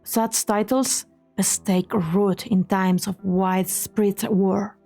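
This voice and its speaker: A woman narrates a dramatic story at a slow, measured pace. Her mid-range voice is solemn, with soft music playing behind it. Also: medium pitch, fairly even intonation, vocal fry.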